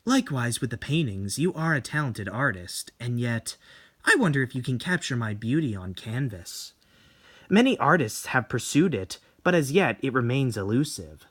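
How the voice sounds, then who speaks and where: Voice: mid-range voice, sing-song, normal speed, slightly rough. A performer voicing a snobby, beautiful young woman in a horror story, haughty and theatrical, drawing out words. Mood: smug, coy.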